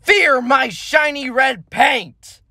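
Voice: high voice, expressive, drawn-out words, creaky, bright, forceful tone, no room sound, studio quality, heavily acted. A performer voicing a vain, menacing red train engine villain, taunting and boastful in theatrical game voice lines. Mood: boastful menace.

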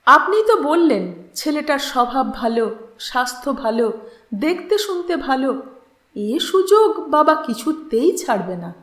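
A woman's voice: high-pitched, big pitch swings, unhurried, fairly bright tone, reverberant, exaggerated voice. A woman voicing a bitter, distressed young woman, emotional, scornful and pleading, in a story reading. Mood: frustrated.

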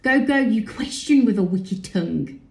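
A woman's voice: mid-range voice, expressive, normal speed, creaky. A woman playing a bitter, accusing young prince in a Shakespearean tragedy made as audio drama. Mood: bitter, defiant. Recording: echoey room.

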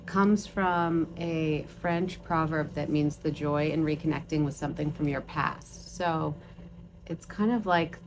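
Low-voiced Canadian-born woman guest. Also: unhurried, a little hiss or hum. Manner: relaxed, brief responses. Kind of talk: casual chat. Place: living room with sofa and curtains.

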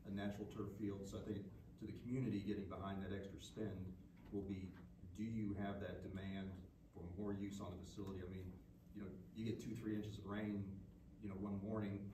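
A man explaining costs and upkeep at a school board meeting in a steady, explanatory way. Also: deep voice, a little fast. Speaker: consultant. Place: large meeting room. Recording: echoey room, far from the mic, background noise.

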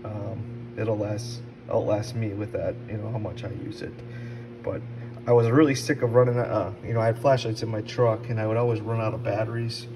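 A man giving a casual, unscripted product review in an informal, halting conversational way. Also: lowish voice, fairly even intonation, over music. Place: indoors at a stone countertop. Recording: distant mic, clearly noisy background.

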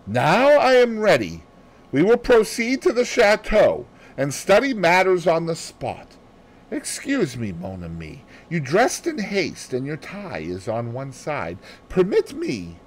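A man voicing a fussy, excitable little Belgian detective in an audiobook, theatrical and precise, dropping in French phrases. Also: on the high side, a little slow, a little hiss or hum, pitched above the performer's own voice, poor audio. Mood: brisk, fussy. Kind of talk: audiobook character voice.

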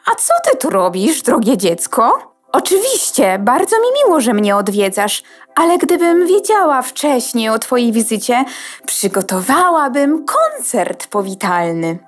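A woman's voice: fairly high pitch, sing-song, measured pace. A woman playing a haughty, theatrical sound card, a fussy music diva in a children's audio drama, pompous and condescending. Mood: grandly condescending.

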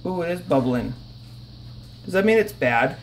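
A man's voice: on the high side, lively intonation, normal speed. A man in his thirties hosting a casual home vlog, joking and asking questions, filmed on a handheld phone in a small kitchen. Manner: joking, teasing, asks lots of questions. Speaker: vlog host. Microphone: handheld phone camera.

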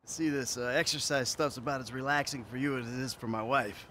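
An actor playing a cocky, condescending ex-jock husband in a video game cutscene, smug and patronising. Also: male voice, mid-range voice, fairly even intonation, fairly quick, sharp tone, slight background noise, natural voice.

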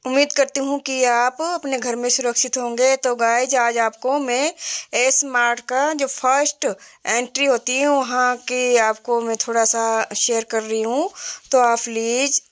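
Woman, middle-aged, flat even delivery, casual shopping vlog, phone recording in a store. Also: fairly high pitch. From Himachal Pradesh, India. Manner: slow, plain chatty narration with fillers. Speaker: vlogger. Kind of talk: casual shop walkthrough vlog. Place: indoor retail store.

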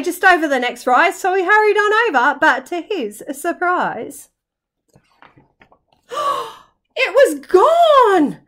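A woman reading a rhyming children's storybook aloud in an expressive, dramatic, sing-song way, like a teacher. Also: very high-pitched. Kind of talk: children's storybook narration in rhyme.

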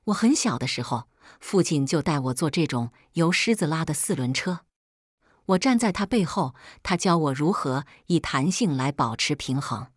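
A woman reads a first-person audiobook story in a very low register. Her voice has a slightly husky edge, and the recording is dry, without room sound. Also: animated, normal speed, dry recording. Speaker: audiobook narrator.

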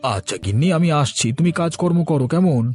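A man's voice: a little high, expressive, brisk, a little mellow, faint music behind, lightly acted. A man voicing an ageing, affectionate, hard-working village husband in a cartoon story. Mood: affectionate, coaxing. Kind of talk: Bengali cartoon story acting.

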